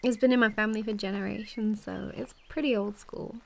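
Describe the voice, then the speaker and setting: A young woman playing a warm, playful best friend in an intimate ASMR roleplay, chatting casually with a giggly, teasing, hesitant manner. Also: mid-range voice, steady tone, a little fast, creaky, close to an everyday voice. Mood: cheerful, reassuring.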